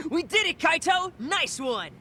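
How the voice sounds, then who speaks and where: A man's voice: very high voice, very animated, takes it slowly, sharp tone, slight background noise, full character voice. A man playing an eager, hopeful teenage boy in a video game cutscene. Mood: upbeat.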